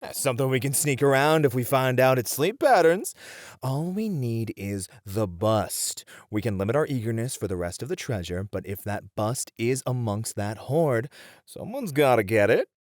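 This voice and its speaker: A man's voice: medium pitch, lively intonation, normal speed, no echo, studio quality, close to an everyday voice. A young man playing a confident, snarky warrior in a fantasy roleplay audio drama, reasoning and teasing a rival dryly. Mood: persuasive, teasing.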